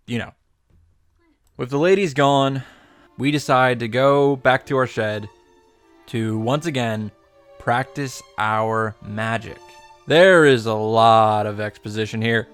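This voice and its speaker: Animated sarcastic man recapping over music. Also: mid-range voice, clear, resonant tone. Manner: sarcastic, exasperated, emphatic delivery. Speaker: host of a visual novel reaction show. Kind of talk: comedic game recap commentary, casual and sweary. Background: background music.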